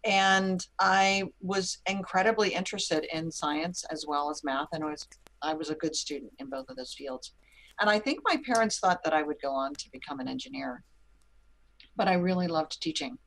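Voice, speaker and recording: A woman from Pittsburgh, a museum science educator, speaking candidly at a panel with hesitant pauses. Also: low voice, a bit bright, low-quality recording. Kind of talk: panel remarks.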